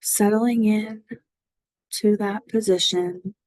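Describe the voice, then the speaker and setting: A woman leads a body scan meditation at an unhurried, slow pace, her voice gentle and soothing. It sits at a middle pitch and sounds dry and close to the mic. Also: fairly even intonation, dry recording, low-quality recording. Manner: slow, gentle, soothing guidance with long pauses. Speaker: mindfulness guide.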